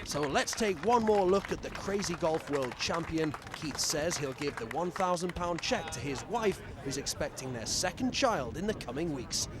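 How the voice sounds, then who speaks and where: A man's voice: on the high side, animated, moderate pace, hardly any pauses, a crowd in the background, noisy background. A British man narrating a scripted television sports news report.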